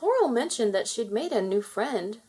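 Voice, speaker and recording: A kind young mermaid princess from a children's storybook, voiced by a woman reader. She speaks earnestly and expressively, with pitch that swings up and down and a lightly husky edge. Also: sing-song, moderate pace, warm tone, full character voice. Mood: concerned.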